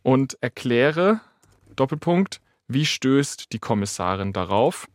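A man's voice: medium pitch, very animated, takes it slowly, no room sound. Man talking casually, dry close sound. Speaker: AI expert guest. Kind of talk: casual explanatory talk while typing prompts.

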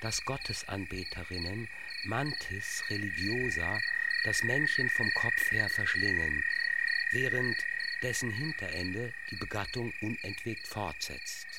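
A man's voice: on the low side, lively intonation, unhurried, rough recording. A man playing a matter-of-fact entomologist, delivering scripted scientific narration in a radio play. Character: matter-of-fact male entomologist explaining insect mating. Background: electronic music with chirping insects and frogs.

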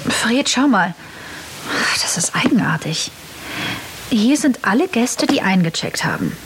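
A woman's voice: medium pitch, sing-song, a little slow. An actress playing a cheeky, curious girl in a children's audio play, heard from a worn cassette. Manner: playful and inquisitive. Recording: hissy old cassette.

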